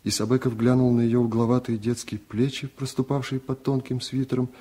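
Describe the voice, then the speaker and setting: Male reader for a radio story: mellow, husky, unhurried and reflective. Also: medium pitch, little pitch movement. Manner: measured, reflective, restrained. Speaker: narrator. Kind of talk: literary reading for radio.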